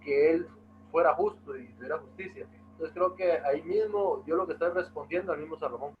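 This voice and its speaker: A man's voice: on the high side, a little fast, phone-quality sound, slight background noise. A man in an online Bible study, earnestly explaining a passage over a video call. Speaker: study participant.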